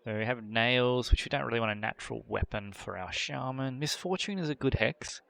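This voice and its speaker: A man hosting a game video, thinking aloud through character choices with frequent fillers and throat clearing. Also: medium pitch, fairly quick, bright, forceful tone, dry recording. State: voice a bit worn from a long previous session. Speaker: gameplay channel host. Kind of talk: casual gameplay commentary.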